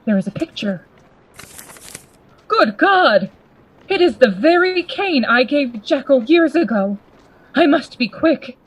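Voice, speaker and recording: A woman playing a formal, worried gentleman lawyer and loyal friend in a gothic radio drama. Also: high voice, animated, slow, long pauses, slight background noise, poor audio. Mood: alarmed. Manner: formal, earnest, theatrical. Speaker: actor in a radio play.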